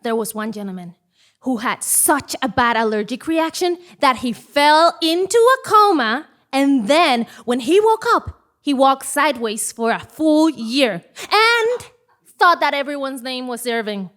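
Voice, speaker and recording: A flustered scheming reporter, high bright voice, slow stretched-out delivery, stage comedy. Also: female voice, big pitch swings, full character voice. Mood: flustered, insistent. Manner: stammering, theatrical. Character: flustered, scheming female journalist, fast-fibbing and insistent. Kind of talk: comedic stage audio play acting. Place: theatre stage before live audience.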